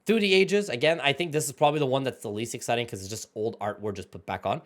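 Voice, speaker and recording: A man hosting a card game channel, giving casual excited reaction commentary as he reads card text aloud, his voice going hoarse and his throat often clearing. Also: medium pitch, fast talker. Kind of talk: casual reaction commentary while scrolling an article.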